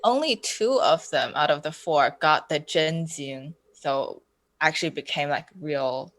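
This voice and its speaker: A woman in a casual podcast discussion, speaking haltingly as she searches for words. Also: deep voice, animated, a bit bright, no echo. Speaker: podcast guest.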